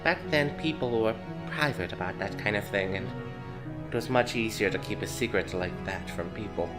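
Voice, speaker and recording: A man's voice: lowish voice, moderate pace, over music, close to an everyday voice. A man playing a chatty, evasive middle-aged grandmother in an audio drama, speaking hesitantly and conversationally. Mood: evasive, casual.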